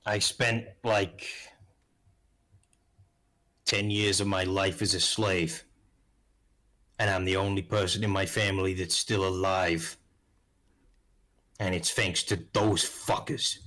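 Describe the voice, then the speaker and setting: A man's voice: deep voice, steady tone, slow pace, long pauses, pushed and bright, pitched below the performer's own voice, exaggerated voice. A man playing a blunt, sweary, world-weary ex-slave adventurer in a tabletop game. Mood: bitter. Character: bitter male ex-slave adventurer, afraid of orcs.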